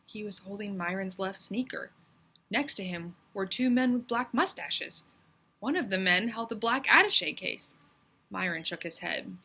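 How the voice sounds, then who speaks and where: Bright-voiced woman reading a children's story. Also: mid-range voice, lively intonation, moderate pace, breathy edge. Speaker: teacher reading aloud. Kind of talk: expressive children's storybook narration.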